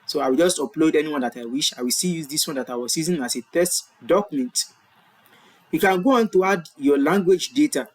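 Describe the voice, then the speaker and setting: A man's voice: a little high, low-quality recording. A Nigerian man whose first language is Yoruba, walking viewers through an online form in a screen-share tutorial, explaining patiently and correcting himself as he goes. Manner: explanatory, repeats and self-corrects. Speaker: tutorial presenter. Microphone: webcam in corner of screen recording.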